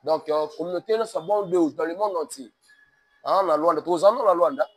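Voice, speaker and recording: High-voiced Congolese man preaching. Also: moderate pace. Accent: Congolese French. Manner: emphatic, mixes French and Lingala, sermon cadence. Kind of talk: preaching-toned interview talk.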